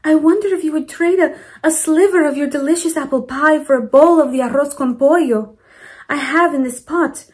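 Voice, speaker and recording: A woman's voice: high voice, moderate pace, pitched above the performer's own voice, close to an everyday voice. A woman voicing a kindly, polite grandmother in a children's storybook, courteous and eager in her delivery.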